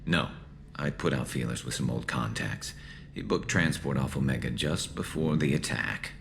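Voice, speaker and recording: Very deep, gravelly alien vigilante. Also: male voice, fairly bright tone, a little hiss or hum, heavily acted. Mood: bitter, determined. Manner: measured, dry, brooding. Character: grim alien mercenary vigilante, male, haunted and vengeful. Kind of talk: video game dialogue acting.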